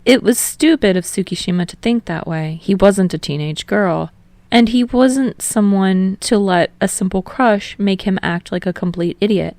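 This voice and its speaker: A woman's voice: medium pitch, moderate pace, darkish tone, no echo. A woman reading scripted fiction as an audiobook narrator. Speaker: podfic narrator.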